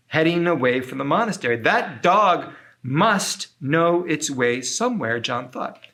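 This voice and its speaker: A man's voice: mid-range voice, fairly bright tone. Man reading a children's story aloud. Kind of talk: scripted children's story narration.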